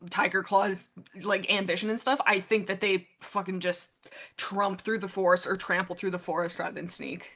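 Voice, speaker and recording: A woman's voice: mid-range voice, fairly even intonation, normal speed, fairly bright tone. A woman chatting casually in a book club discussion, emphatic and agreeable, with very informal wording. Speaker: book club podcast participant.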